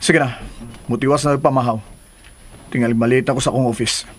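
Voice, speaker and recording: A man's voice: medium pitch, steady tone, a little fast, loud music behind the voice, close to an everyday voice. A man playing a concerned, insistent partner in a radio drama, with a Cebuano Filipino accent and an earnest delivery. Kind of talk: Philippine radio drama acting.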